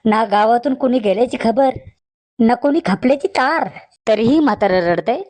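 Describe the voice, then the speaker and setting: Woman playing high-voiced nosy village boy. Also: normal speed, crowd noise, close to an everyday voice. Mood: puzzled. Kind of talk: comedy cartoon dialogue.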